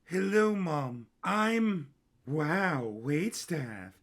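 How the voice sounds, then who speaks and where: A man's voice: a little high, animated, drawn-out words, voice pushed up, full character voice. A man voicing a timid young dog-headed mutant waiter in an audio story, hesitant, nervous and polite. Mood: awkward, polite.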